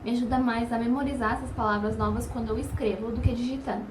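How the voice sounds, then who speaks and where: A woman explains her routine at a quick clip, in a brisk, explanatory way. She sounds set back from the microphone, and the room gives her voice an echo. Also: on the high side, fairly even intonation, noisy background. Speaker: language-learning host. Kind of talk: casual vlog narration. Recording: roomy, distant from mic.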